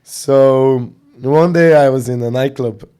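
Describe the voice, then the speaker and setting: A young man from Serbia, a boxing coach, chatting casually as an interview guest in animated storytelling fashion. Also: medium pitch, slow pace, clean tone. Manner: animated storytelling with slang fillers.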